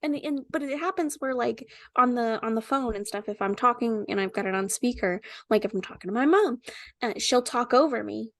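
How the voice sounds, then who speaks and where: A young woman co-hosts a casual podcast with a high, cartoonish voice that sits above most women's. She teases and swears freely in a playful way. Her sound is dry and close, recorded over a remote call. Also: fairly quick, no echo. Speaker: podcast co-host. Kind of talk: casual chat. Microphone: remote call microphone.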